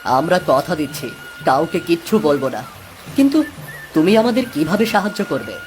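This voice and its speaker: A woman's voice: deep voice, very animated, normal speed, darkish tone, soft background music. A woman playing a humble, caring fisherman father in a cartoon, hesitant and concerned. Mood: wondering. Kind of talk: cartoon voice acting.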